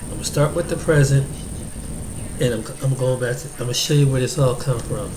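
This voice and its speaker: A man's voice: medium pitch, fairly quick, background noise. Stuffy, nasal-sounding man talking casually. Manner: rambling with frequent ums. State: stuffy, congested from allergies. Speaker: video host. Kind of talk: casual talk to camera.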